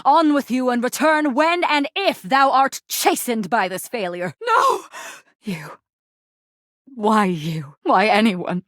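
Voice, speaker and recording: A woman's voice: a little high, sing-song, takes it slowly, a bit bright, no echo, studio quality, heavily acted. A woman voicing a proud, tragic warrior general in a video game, declaiming in archaic, mock-Shakespearean speech with haughty anguish. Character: grandiose, tragically proud warrior general, a laundry detergent box.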